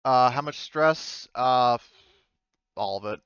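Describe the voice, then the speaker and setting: A man with a clean, smooth voice at a middle pitch leads a tabletop game as game master. He talks casually and tentatively, in a dry but slightly dulled recording. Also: slow pace, fairly bright tone, no room sound, muffled recording. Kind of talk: improvised game narration and casual table talk.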